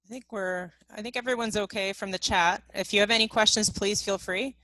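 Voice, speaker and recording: A woman co-hosting a webinar, relaying questions from the chat in a relaxed, hesitant way. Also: medium pitch, a little fast, no room sound, poor audio. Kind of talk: casual moderation.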